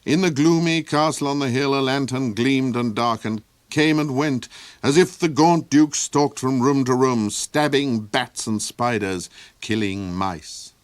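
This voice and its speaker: A man narrates a fantasy tale in an expressive, theatrical manner. His voice sits at an ordinary middle pitch. The sound is dry and close. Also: a little slow, no echo. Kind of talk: audiobook storytelling.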